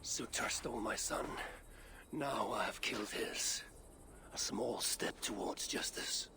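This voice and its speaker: An actor playing a terse, imperious Norse god in a video game, solemn and vengeful. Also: male voice, lowish voice, slow, vocal fry, sharp tone, slight background noise, exaggerated voice. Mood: grim. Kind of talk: cinematic video game acting.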